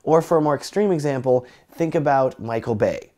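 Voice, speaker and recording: A young man with a slightly raspy, cold-roughened voice, teaching color grading in a chatty, joking tutorial. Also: medium pitch, brisk, mellow. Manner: joking, chatty, self-deprecating. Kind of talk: casual tutorial talk.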